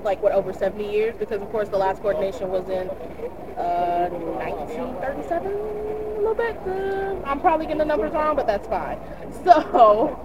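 A woman from Texas hosting a casual, upbeat vlog, chatting and laughing as she introduces her street interviews outdoors. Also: a little high. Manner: bubbly, upbeat, laughs between phrases. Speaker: vlogger interviewing passers-by. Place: outdoors on a busy city street. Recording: dull lo-fi audio with street noise.

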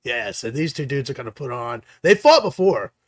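A man's voice: mid-range voice, very animated, moderate pace. A man co-hosting a casual sports chat, enthusiastic and hedging as he corrects himself. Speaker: co-host picking fights.

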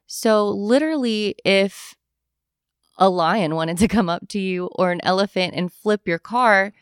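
A young adult woman chatting casually, telling animated travel stories and acting out what people said. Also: mid-range voice, unhurried, clean tone, no room sound, studio quality. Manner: animated storyteller, acts out quoted voices. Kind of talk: casual chat.

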